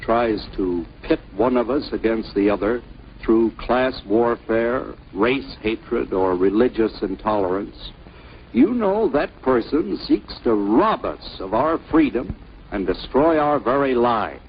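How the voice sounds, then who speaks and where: An actor with an American accent playing a folksy, earnest patriotic storyteller in 1940s cartoon narration, persuasive and emphatic. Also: male voice, mid-range voice, lively intonation, takes it slowly, a little hiss or hum. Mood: grave, earnest. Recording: dull old film soundtrack with music.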